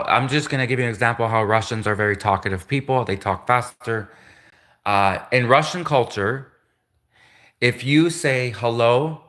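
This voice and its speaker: A man talks casually on his own livestream, his voice at an ordinary middle pitch. He rambles, repeats words and restarts sentences, with animated asides. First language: English. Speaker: livestream host.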